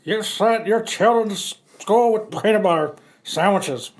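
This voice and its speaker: A man's voice: high voice, normal speed, lightly acted. A man playing a strict, officious school principal in an amateur comic reading. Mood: stern.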